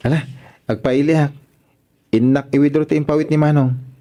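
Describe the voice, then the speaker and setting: An actor with a northern Philippine accent playing a polite, bashful young son in a radio drama, talking in a shy, conversational way. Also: male voice, fairly high pitch, little pitch movement, fairly quick, dark tone, close to an everyday voice. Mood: easygoing.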